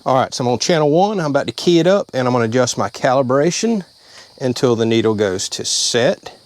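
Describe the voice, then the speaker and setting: A man's voice: medium pitch, expressive, brisk. Man explaining radio tuning step by step. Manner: plain-spoken, step-by-step explaining. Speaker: channel host. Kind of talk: casual how-to vlog. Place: inside a vehicle.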